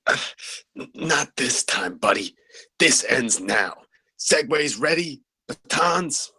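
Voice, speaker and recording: A man at a live table read playing an earnest, solemn veteran security guard with a husky, halting voice. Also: medium pitch, expressive, sharp tone, no echo, full character voice. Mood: reluctant resolve.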